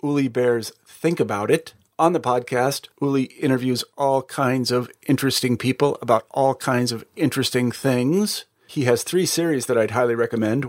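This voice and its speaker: A man, editor of a podcast network, reading an upbeat scripted promo and spelling out a web address slowly. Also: mid-range voice, dry recording, clean studio sound.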